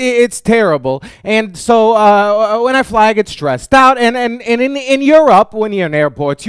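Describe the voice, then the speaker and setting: Animated, high-voiced man telling a story. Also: smooth, dry recording, studio quality. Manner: animated, exclamatory, self-mocking with stuttered repetitions. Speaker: YouTube show host. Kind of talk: casual storytelling to camera.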